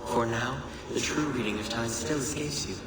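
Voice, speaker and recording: A man voices an ancient, solemn male messenger for a video game. He orates in grave, measured, cryptic phrases. The voice rings with echo, and a heavy ambient rumble sits loudly behind it. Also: lightly acted. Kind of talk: dramatic scripted game monologue. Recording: echoey voice over loud ambient rumble.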